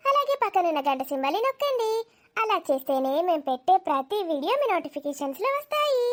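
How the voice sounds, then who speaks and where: A woman's voice: extremely high pitch, fairly bright tone. A woman hosting a channel, giving a scripted introduction and appealing directly to viewers. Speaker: channel host.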